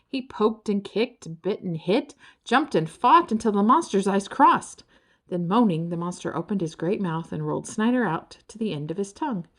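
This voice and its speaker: A woman narrating a children's storybook in an expressive, dramatic storytelling way. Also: mid-range voice, moderate pace. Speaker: audiobook narrator.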